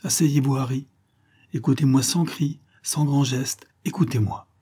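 Man as a husky, grieving motherly old nursemaid. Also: medium pitch. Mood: grave, sorrowful. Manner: slow, calm, pained, solemn. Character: kindly sorrowful old nursemaid woman, motherly and grave.

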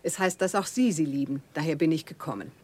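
A woman's voice: deep voice, quick pace, darkish tone, slightly rough, no room sound. An actress playing a haughty, capricious queen of France, imperious and condescending, in a German radio play. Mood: gracious, cool. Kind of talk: German radio play, theatrical stage diction.

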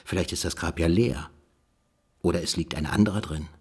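An actor playing a pondering investigator who muses through clues of a literary case in a radio play. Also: male voice, low-pitched, brisk, a little mellow, breathy edge. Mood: puzzled, musing.

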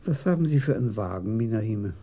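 An actor playing a thoughtful senior police commissioner in a radio crime drama, measured and dryly probing, with a husky voice. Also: male voice, on the low side, lively intonation, warm tone. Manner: measured, deliberate, philosophical.

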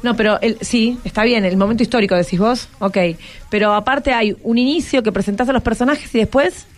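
A woman's voice: on the high side, fairly quick. A woman with a Rioplatense Argentine accent, hosting a conversational interview and prompting with frequent check questions. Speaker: radio host interviewing schoolchildren.

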